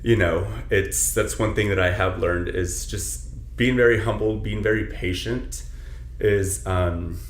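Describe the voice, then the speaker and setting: Deep-voiced trainer, earnest and roomy. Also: male voice, fairly even intonation, measured pace, a little hiss or hum. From south Texas. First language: Spanish. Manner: earnest, filler-heavy, many "you know"s. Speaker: personal trainer, podcast guest. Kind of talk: casual reflective interview talk. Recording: roomy echo with faint ambience.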